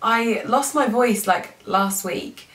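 A woman's voice: a little high, slow pace, a bit bright. A young woman, congested from a cold, chatting casually about beauty products with lots of "like" and "um". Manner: chatty, frequent "like" and "um". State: congested, lost voice, sore throat. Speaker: beauty vlogger. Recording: echoey room, mic a bit far.

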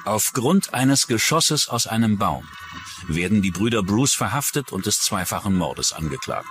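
A man's voice: on the low side, very animated, moderate pace, a bit bright, faint music behind. A man delivering scripted true-crime narration as a documentary narrator.

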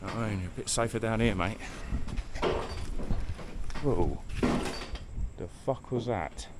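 A man's voice: on the low side, takes it slowly, heavy background noise. A man in his thirties with a London accent, chatting casually and swearing as he walks through a night market, filming himself on a handheld camera. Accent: London English. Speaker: travel vlogger. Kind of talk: casual vlog chat. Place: busy night market street outdoors. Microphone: action camera held at arm's length.

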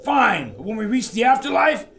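An actor playing a brash, wisecracking adventurer with a blunt, sarcastic manner in an audio drama. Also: male voice, very high voice, very animated, moderate pace, vocal fry, bright, forceful tone, slight background noise, full character voice. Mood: exasperated. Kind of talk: radio adventure drama acting.

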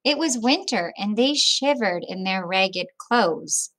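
A middle-aged woman reading a picture book aloud to children like a teacher, with expressive, deliberate phrasing. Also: mid-range voice. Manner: deliberate phrasing with explanatory asides to young listeners.

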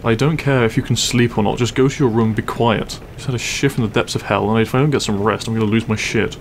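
A man playing a grumpy but secretly caring half-dragon roommate in an audio roleplay, gruff, weary and blunt. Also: medium pitch, fairly even intonation, quick pace, slight background noise, natural voice. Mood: irritated, weary. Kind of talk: audio roleplay acting, spoken to the listener.

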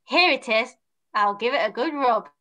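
A woman's voice: fairly high pitch, animated, normal speed, pushed and bright. A young woman playing a brave, earnest young male hero in an amateur pantomime read-through on a video call. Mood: resolute.

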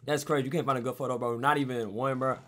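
A man's voice: medium pitch, fairly even intonation, fast talker, a bit bright. A young man streaming video chats with strangers, talking in laid-back slang and teasing. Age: young adult. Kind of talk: casual video chat. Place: bedroom with purple lights.

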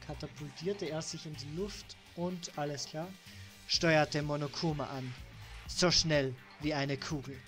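A woman's voice: low voice, fairly even intonation, unhurried, a bit bright, faint music behind. A woman in her twenties with a Styrian Austrian accent, reading game text aloud and chatting on a live stream. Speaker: let's play streamer.

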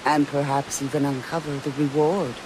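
A man's voice: medium pitch, level tone, noisy background, natural voice. Man playing a solemn, dignified old elder. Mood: solemn, grateful. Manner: slow, formal, ceremonious. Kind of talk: solemn storytelling in video game drama.

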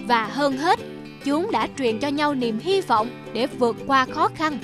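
A wise, proud monkey chief from a children's cartoon. The delivery is measured and commanding, in a fairly high voice over music. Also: female voice, animated. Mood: calm, explaining.

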